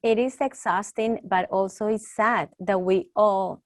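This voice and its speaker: A woman talk show host with a strong Latin American Spanish accent, speaking deliberately and with emphasis. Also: medium pitch, steady tone, dry recording, clean studio sound.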